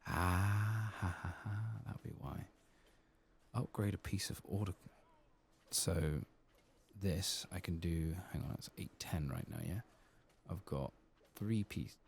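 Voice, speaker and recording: A man giving soft-spoken, partly whispered ASMR commentary as he plays a game, murmuring his thoughts aloud. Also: low-pitched, lively intonation, a little slow, creaky, slight background noise. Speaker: gaming channel host.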